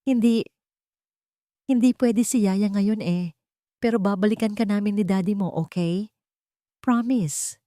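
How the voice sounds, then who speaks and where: A woman's voice: medium pitch, unhurried, long pauses, dark tone, no echo, studio quality, voice pushed up, lightly acted. A woman voicing a loving young nanny, heartbroken and pleading, with a trembling voice in an audio drama story. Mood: gentle, reassuring.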